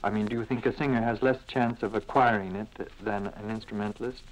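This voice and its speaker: A man conducting an unscripted interview, asking polite, roundabout questions in a halting way. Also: fairly low pitch, fairly even intonation, low-quality recording. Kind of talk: unscripted radio-style interview.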